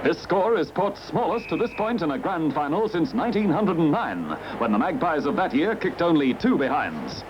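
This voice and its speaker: An old, muffled newsreel soundtrack with heavy noise behind the voice. An Australian man narrates football in formal, florid scripted sentences. His delivery is animated, swinging up and down in pitch. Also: moderate pace, hardly any pauses. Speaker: newsreel sports commentator. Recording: dull, noisy archival 1970s newsreel soundtrack.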